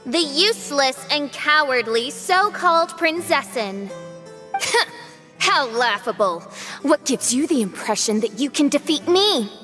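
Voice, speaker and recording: A woman's voice: high voice, animated, slow pace, pushed and bright, soft background music, full character voice. A woman voicing a haughty young princess in a game, speaking with pompous, grandiose theatrical diction. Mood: scornful.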